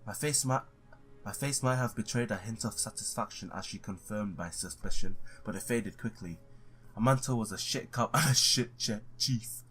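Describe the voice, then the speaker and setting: Low-voiced man reading a game aloud. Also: moderate pace, a bit bright. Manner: informal, stumbles over read words. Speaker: gamer reading a visual novel aloud. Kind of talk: let's play, reading story text aloud with casual asides.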